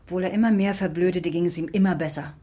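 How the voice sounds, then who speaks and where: An actress playing a sober, sceptical middle-aged sister in a naturalistic radio drama. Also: female voice, fairly low pitch, fairly even intonation, fast, warm tone, slightly rough. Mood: subdued, bleak.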